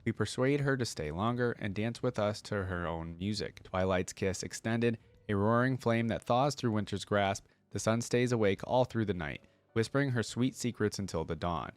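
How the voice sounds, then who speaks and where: Devout worshipper read by a man, reverent fantasy hymn recitation, low-pitched, dry recording. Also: moderate pace, hardly any pauses, dry recording, close to an everyday voice.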